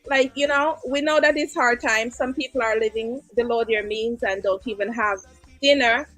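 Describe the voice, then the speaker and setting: A woman's voice: on the high side, moderate pace, a bit bright, soft background music, poor audio. A Jamaican woman hosting a casual live-stream interview, laughing often as she talks. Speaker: host.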